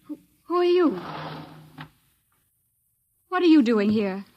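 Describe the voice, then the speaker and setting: A woman's voice: high-pitched, expressive, slow, darkish tone, a little hiss or hum. An actress playing an earnest, distressed but determined woman captive in a 1950s radio drama. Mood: wary, confused. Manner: anxious, earnest, questioning. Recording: dull vintage 1950s radio recording.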